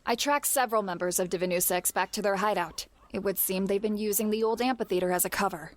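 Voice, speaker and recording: A woman playing a resolute lady knight in an audio drama, with a formal, serious and measured delivery. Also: medium pitch, close to an everyday voice. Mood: formal, dutiful.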